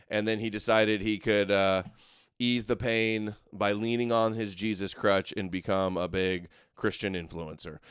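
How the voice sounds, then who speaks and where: A man's voice: fairly low pitch, fairly bright tone, smooth, dry recording, studio quality. A male host giving sarcastic, scoffing commentary over video clips. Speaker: show host.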